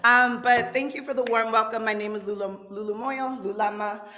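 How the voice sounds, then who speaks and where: Brief: young woman poet from Zimbabwe, raspy, fairly high voice, rhythmic and dramatic declamation into a stand mic. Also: fairly even intonation, moderate pace, pushed and bright. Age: young adult. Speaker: featured poet. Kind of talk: spoken word poetry performance. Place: indoor room with brick wall, standing at a lectern. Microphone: stand microphone at the lectern.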